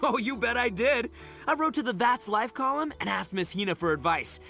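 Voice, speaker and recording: An actor playing a boastful, excitable young man obsessed with fishing in an anime game, bragging emphatically. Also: very high-pitched, normal speed, vocal fry, soft background music, heavily acted.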